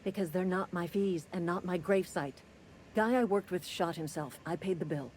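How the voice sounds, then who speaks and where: Jaded, curt female labourer played by a woman, lower register, dry deadpan, video game, faint noise behind. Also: normal speed, natural voice. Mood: deadpan, cynical. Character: gruff, jaded female company-town worker, curt and cynical. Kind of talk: video game dialogue acting.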